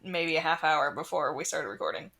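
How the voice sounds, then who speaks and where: A woman co-hosting a podcast in casual banter, teasing and deadpan, often laughing. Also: deep voice, fairly quick, vocal fry. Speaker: podcast co-host.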